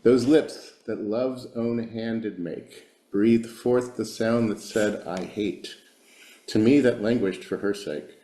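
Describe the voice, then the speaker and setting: A man introduces himself, then reads a sonnet slowly and deliberately. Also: fairly low pitch, warm tone, a little hiss or hum. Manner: slow, deliberate reading. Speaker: poetry reader. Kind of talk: sonnet recitation. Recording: roomy echo.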